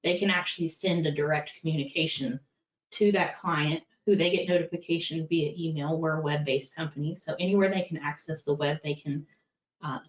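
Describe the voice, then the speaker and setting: Woman with a lowish voice, conversational, many fillers, roomy echo, heard from far off. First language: English. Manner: conversational, practical, with frequent fillers. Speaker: compliance executive presenting. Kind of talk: candid webinar talk. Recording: far-off echoey dull-sounding room.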